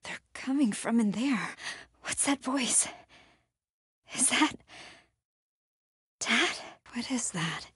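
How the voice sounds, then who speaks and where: A woman's voice: a little high, animated, slow pace, long pauses, no echo, clean studio sound, heavily acted. An actress voicing a wary, sardonic young woman in a horror video game, muttering to herself. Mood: hushed wonder. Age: young adult. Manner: muttering to herself, hushed, breathy.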